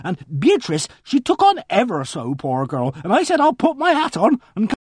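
A man's voice: very high voice, wildly swooping pitch, moderate pace, husky, no echo, studio quality, voice pushed up, heavily acted. A man voicing an earnest, indignant middle-aged village charwoman who rambles breathlessly. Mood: agitated. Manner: breathless, rambling country speech.

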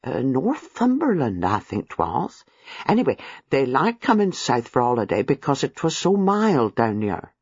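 A man's voice: medium pitch, wildly swooping pitch, measured pace, breathy edge, dry recording, highs cut off, full character voice. A man voicing a nervous, apologetic older female former servant, hesitant and deferential in an audiobook, with rambling rustic turns of phrase. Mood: reminiscing, flustered. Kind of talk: audiobook character dialogue.

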